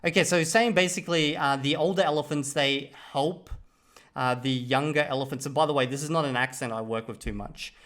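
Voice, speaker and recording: A man vlogging about learning a language, ranting in an animated, exasperated way and translating clips aloud. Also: medium pitch, a little fast. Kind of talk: casual commentary reacting to clips.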